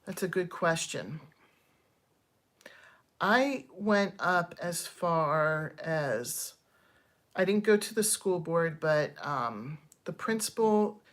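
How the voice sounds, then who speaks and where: A woman in her fifties chatting casually on a livestream, digressing often and thanking viewers as she airs candid, frustrated complaints with plenty of ums. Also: lowish voice. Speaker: channel host.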